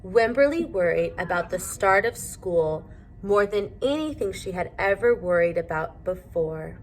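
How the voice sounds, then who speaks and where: A woman teacher reading a storybook aloud to young children, pausing to ask them simple questions. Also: lowish voice, animated, a little hiss or hum, low-quality recording.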